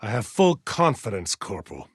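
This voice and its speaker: A man voicing a stern, aging militia colonel in a video game, formal and measured as he gives orders with deliberate pauses. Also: fairly low pitch, wildly swooping pitch, husky, no echo, clean studio sound, exaggerated voice. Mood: grave, urgent. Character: stern aging militia colonel, dutiful, zealous, wary, slightly forgetful. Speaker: military commander giving orders. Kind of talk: scripted video game character dialogue.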